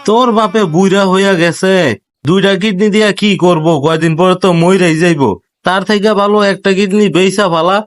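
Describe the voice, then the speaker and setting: A man's voice: high-pitched, steady tone, fairly quick, clean tone, natural voice. A man playing a sly, mocking young friend in a comic cartoon, goading and scornful, with a Bangladeshi accent.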